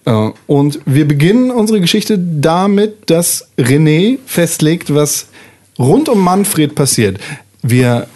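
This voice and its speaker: A man's voice: on the high side, lively intonation, slow, warm tone. A man casually explaining the rules and guiding the story of a tabletop role-playing game as its moderator.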